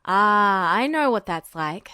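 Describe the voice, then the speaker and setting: A woman voicing a weary, guarded teenage boy who speaks halting, terse English as a foreigner in a fan fiction reading. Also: mid-range voice, lively intonation, sharp tone, clear, resonant tone, no echo, clean studio sound, pitched below the performer's own voice. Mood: wry, curious. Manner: halting, terse phrases in an unfamiliar language.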